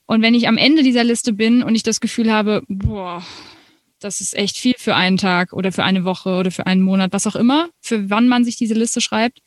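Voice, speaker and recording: Young woman in her twenties, guest in a casual interview; animated, laughing, dry close mic. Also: medium pitch, brisk, dry recording. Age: 20s. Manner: animated, laughs often, many fillers. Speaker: musician guest.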